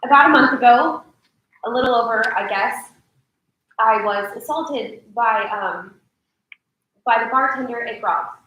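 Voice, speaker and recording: A young actress in a staged reading playing a shaken, apologetic woman confiding in friends, speaking haltingly. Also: a little high, bright, forceful tone, dull audio, slight background noise. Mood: hesitant, shaken. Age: young adult. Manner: halting, hesitant. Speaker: actor. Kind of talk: staged play reading, naturalistic drama. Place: large echoey indoor room. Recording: echoey hall, distant microphone.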